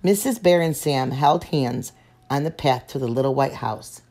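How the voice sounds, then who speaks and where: A woman reading a children's picture book aloud in a slow, gentle and expressive way. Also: very deep voice. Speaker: storyteller.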